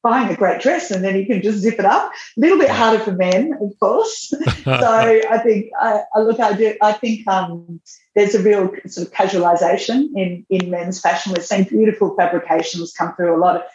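A woman's voice: medium pitch, moderate pace, a little mellow. A middle-aged woman, a magazine editor, talking casually in a podcast interview, laughing often and restarting her sentences. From Australia. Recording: roomy, dull remote audio.

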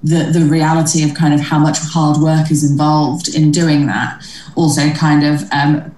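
A woman's voice: deep voice, level tone, normal speed, darkish tone. A woman in her thirties, a comedian who grew up in Australia, talking frankly in a casual interview, with many fillers and some swearing. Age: 30s. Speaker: comedian guest. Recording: roomy sound, distant from mic.

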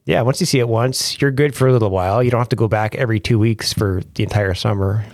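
Low-voiced Canadian comedian, jokey. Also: male voice, quick pace, no room sound, clean studio sound. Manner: jokey, rambling, laughs often. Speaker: comedian and former country musician. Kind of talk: casual interview chat.

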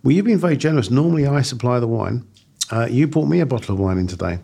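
A man's voice: medium pitch, lively intonation, fast, gravelly, mellow, husky. A man from the United Kingdom hosting a podcast interview, eager and chatty, often restarting and stumbling over his questions. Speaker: podcast host. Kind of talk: casual interview chat.

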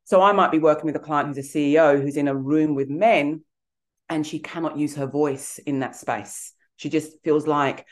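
A woman's voice: low voice, steady tone, normal speed. A woman in her forties with an English accent tinged with Australian, speaking earnestly and thoughtfully as an interview guest. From England. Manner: thoughtful, earnest, with soft fillers. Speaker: guest, executive coach. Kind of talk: reflective interview talk.

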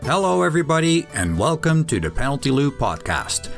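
A man's voice: on the high side, sing-song, fairly quick, vocal fry, over loud music. Easygoing male podcast co-host. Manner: easygoing, laughs readily. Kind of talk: casual podcast chat.

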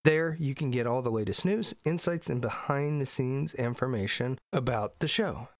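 A man's voice: medium pitch, moderate pace, no room sound, clean studio sound. A middle-aged man from Indiana, congested from allergies, hosting a solo podcast in an earnest, emphatic way. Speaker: podcast host.

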